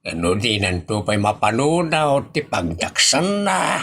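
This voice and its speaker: Indignant village husband acted by a man, grumbling, Filipino Ilocano accent, slow comic delivery. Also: medium pitch, big pitch swings, fairly bright tone, breathy edge, pitched below the performer's own voice, heavily acted. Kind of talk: comic audio drama acting.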